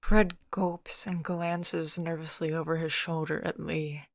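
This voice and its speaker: Female story narrator, deep register, scripted audiobook-style read, dry studio sound. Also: level tone, measured pace, no echo.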